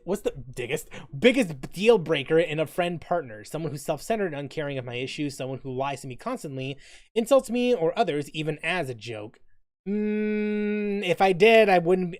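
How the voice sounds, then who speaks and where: Higher-voiced man chatting casually on stream. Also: a little mellow, dry recording, studio quality. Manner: informal, rambling, mildly profane. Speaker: gaming streamer. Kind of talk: casual stream chat, reading quiz questions aloud and reacting.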